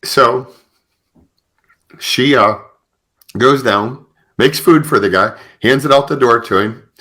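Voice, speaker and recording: A man hosting a podcast, telling stories conversationally in a halting way with repeated phrases. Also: mid-range voice, sharp tone. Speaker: podcast host.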